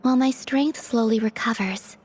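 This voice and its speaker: A woman voicing a gentle, frail moon maiden in a video game, honest and quietly resolute, speaking in a soft, breathy, measured way. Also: fairly high pitch, slight background noise, close to an everyday voice. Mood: earnest, gentle. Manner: measured, earnest delivery.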